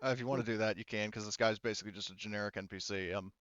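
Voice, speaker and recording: Male game master, clear smooth voice, dry close sound slightly dull, casual refereeing of a tabletop game. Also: fairly low pitch, steady tone, very fast, a bit bright, no echo.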